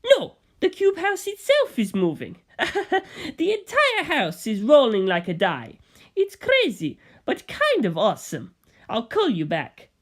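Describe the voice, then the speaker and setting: Woman playing an excitable, loud gangster man. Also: on the high side, measured pace, pitched above the performer's own voice, exaggerated voice. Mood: amazed. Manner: loud, emphatic, swearing outbursts. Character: excitable, foul-mouthed gangster man reporting by phone.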